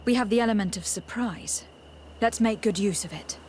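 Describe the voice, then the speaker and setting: An actress playing a composed noble envoy and leader in a video game, speaking formally and with measured authority. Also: female voice, mid-range voice, darkish tone, slight background noise, lightly acted. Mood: composed, commanding. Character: noble female envoy, composed young leader giving orders. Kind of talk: scripted video game voice acting.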